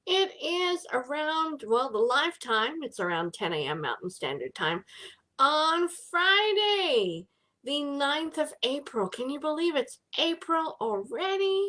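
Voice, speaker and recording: A woman's voice: high-pitched, sing-song, slow, pushed and bright. A middle-aged woman hosting a casual live business chat from home, bubbly and greeting viewers by name.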